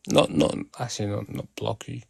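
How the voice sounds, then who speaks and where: A man's voice: lowish voice, lively intonation, normal speed, gravelly, a little mellow, husky, no echo, studio quality. A man from South Sudan chatting casually on a live audio stream, rambling and restarting his phrases.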